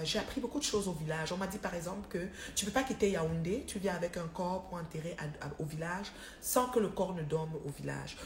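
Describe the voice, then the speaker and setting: A woman holds forth in a chatty live-stream monologue. Her voice sits a little low, and the room around her gives it an echo. She stresses her points, circles back to them and keeps restarting her sentences. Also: slight background noise. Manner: emphatic, repetitive, with frequent restarts. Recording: roomy echo.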